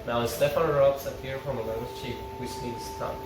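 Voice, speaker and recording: A man's voice: mid-range voice, fairly even intonation, moderate pace, noisy background. A man reading a TV script aloud, narrating stage directions with informal asides. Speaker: table read narrator and reader. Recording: very echoey room, distant, music behind.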